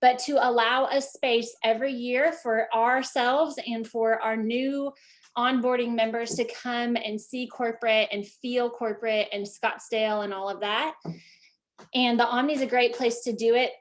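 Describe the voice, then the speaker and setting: A woman's voice: on the high side, steady tone, moderate pace. Woman team leader on a video call; rambling, casual, lots of fillers.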